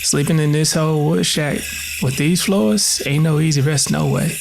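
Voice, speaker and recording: A man's voice: fairly high pitch, moderate pace, vocal fry, noisy background. A man playing a weary, embittered enslaved eldest son in an audio drama, speaking with a Southern American accent in a folksy, brooding way. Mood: resigned, dutiful. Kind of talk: audio drama acting, monologue and dialogue.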